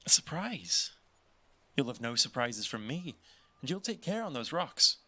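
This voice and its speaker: Fussing, teasing mother played by a man, bright tone, lively pitch. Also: exaggerated voice. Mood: fond scolding. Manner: quick scolding, affectionate warnings. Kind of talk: audio roleplay acting.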